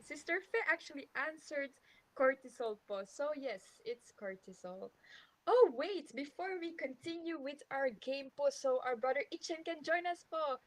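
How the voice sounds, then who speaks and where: A woman hosts a casual live radio chat, her voice a little high. She is cheerful and polite, and she laughs easily between questions. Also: no room sound, poor audio. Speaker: radio program host.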